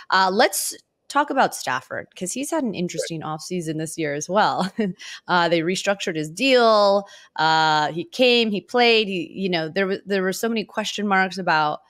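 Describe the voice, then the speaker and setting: A woman hosting a casual football interview podcast, talking in a loose, halting way as she thinks aloud. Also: on the low side, a bit bright, dry recording, clean studio sound. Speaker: sports podcast host.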